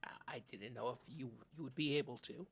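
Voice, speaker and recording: Performer playing an anxious, bright-voiced podcast co-host. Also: mid-range voice, breathy edge. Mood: hesitant, glum. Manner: halting, stammering, earnest. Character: Parker, eager, anxious, childlike small-town podcast co-host. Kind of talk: improvised comedy podcast banter.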